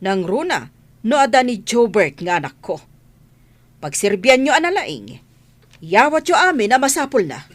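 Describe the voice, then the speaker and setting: Haughty bossy rich madam, swooping pitch. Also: female voice, very animated, normal speed, pauses often, dry recording. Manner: imperious, scolding, clipped commands. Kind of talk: Ilocano radio drama acting.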